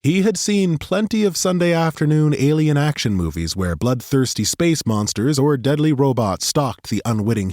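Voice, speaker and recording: A man narrating a fantasy audiobook in a steady, measured storytelling manner, close to a studio microphone. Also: on the low side, dry recording.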